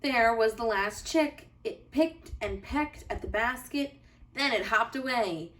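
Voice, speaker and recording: A woman teacher reading a children's storybook aloud with deliberate, expressive phrasing for young listeners. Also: a little high, distant mic.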